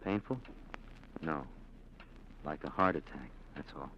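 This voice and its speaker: An actor playing a scheming nephew plotting murder in 1940s radio-drama style, clipped and coldly insistent. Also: male voice, rumbling low, a little slow, lo-fi sound, heavy background noise. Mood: tense.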